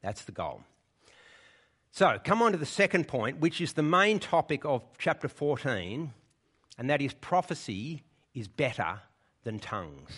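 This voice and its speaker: A man's voice: medium pitch, sing-song, unhurried, fairly bright tone, slightly rough. A man preaching a sermon in church, explaining the passage in a conversational way and often checking in with his listeners. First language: English.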